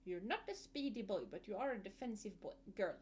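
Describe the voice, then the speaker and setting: A woman's voice: mid-range voice, animated, fairly quick, darkish tone, a little hiss or hum. A woman streamer giving casual gameplay commentary, chatty and muttering asides to herself.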